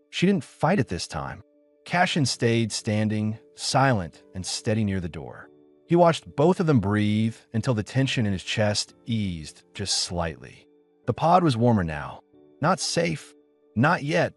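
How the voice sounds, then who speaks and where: Deep male audiobook narrator, expressive pitch. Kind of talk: scripted story narration.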